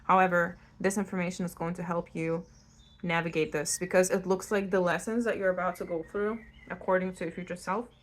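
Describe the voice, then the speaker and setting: A woman's voice: fairly low pitch, level tone, a little fast. A woman from Lithuania, giving an unscripted tarot reading in a blunt, conversational way, speaking straight to the listener. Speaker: tarot reader hosting her own channel. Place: indoors at home in a new-build house.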